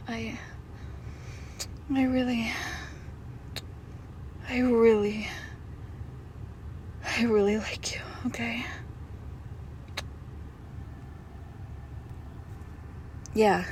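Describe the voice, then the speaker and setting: A woman playing a doting, possessive girlfriend who is secretly a monster, in an intimate, hushed roleplay audio drama. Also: on the high side, steady tone, slight background noise. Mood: loving, intimate. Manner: slow, hushed, tender, often dropping to a whisper.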